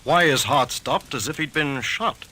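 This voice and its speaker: An actor playing a puzzled, authoritative family doctor in an old-time radio mystery, speaking emphatically. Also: male voice, a little high, measured pace, bright, forceful tone. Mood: baffled, firm. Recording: old radio recording, faint noise.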